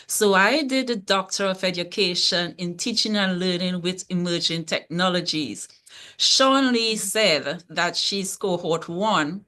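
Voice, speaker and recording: A woman from Tobago speaks as a librarian guest on an online panel. Her tone is bright and forward, and she lays out her points in a measured, explaining way. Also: on the low side.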